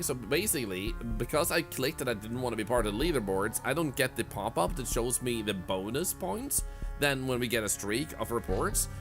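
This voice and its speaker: Animated male streamer over game music. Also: medium pitch. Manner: mutters counts, bursts into loud exasperated rants. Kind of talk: casual gameplay commentary.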